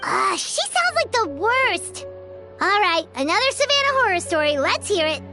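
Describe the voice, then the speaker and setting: A woman's voice: sing-song, moderate pace, music in the background, full character voice. A woman voicing a small, childlike, cheeky girl companion in a cartoonish video game. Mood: cheeky, excitable. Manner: squeaky, exclaiming, cheeky.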